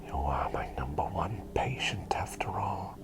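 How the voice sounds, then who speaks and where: Man acting a very low, whispering, doting plague doctor. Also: animated, music in the background. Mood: tender, reassuring. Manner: slow, soothing, softly attentive, with gentle pauses. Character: gentle, doting male plague doctor, playful caretaker. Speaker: ASMR roleplay performer. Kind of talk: whispered ASMR personal-attention roleplay.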